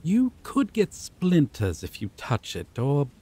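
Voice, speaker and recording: A man's voice: fairly high pitch, huge pitch swings, dark tone, heavily acted. A man playing a possessive, coldly polite vampire captor in an ASMR roleplay, speaking formally and theatrically with menacing affection. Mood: polite, threatening. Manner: slow, formal, theatrical, endearments laced with threat. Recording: rain and thunderstorm noise behind. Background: rain and thunder.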